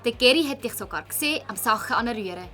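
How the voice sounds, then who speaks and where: A woman's voice: on the high side, expressive, fast, pushed and bright. A female performer playing an earnest, insistent schoolgirl detective in a children's audio drama, with a Swiss German accent. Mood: accusing.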